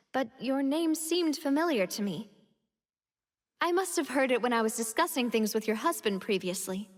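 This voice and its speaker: A young noblewoman leader in a story game, earnest and expressive. Her voice is high and she speaks at a leisurely pace. A long reverb and faint music sit behind her. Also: a little hiss or hum. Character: confident young noblewoman leader, warm and curious.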